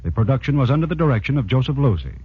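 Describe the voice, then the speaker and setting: A man with an American accent working as a network announcer, speaking formally and deliberately in 1940s radio style. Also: mid-range voice, big pitch swings. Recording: dull 1940s radio broadcast recording.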